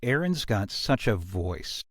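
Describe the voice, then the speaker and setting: A man talking casually as a podcast host about creative work, in an earnest, reflective way with halting pauses. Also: fairly low pitch, big pitch swings, fairly bright tone, dry recording, clean studio sound. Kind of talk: casual behind-the-scenes discussion.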